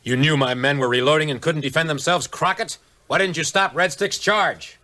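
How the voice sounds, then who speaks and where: A man's voice: fairly high pitch, moderate pace, bright, forceful tone. A man playing a stern, exasperated army major in a 1950s audio adventure, curt and complaining. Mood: angry, accusing. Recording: 1950s record drama, music behind.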